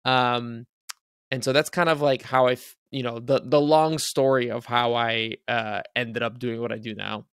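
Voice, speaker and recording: A young man from Bulgaria, a native Bulgarian speaker, talking casually and quickly as a podcast guest over a video call from home, using lots of filler words. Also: mid-range voice, level tone, a bit bright, clear, resonant tone, no echo, studio quality. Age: young adult. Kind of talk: casual interview talk. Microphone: studio mic on desk.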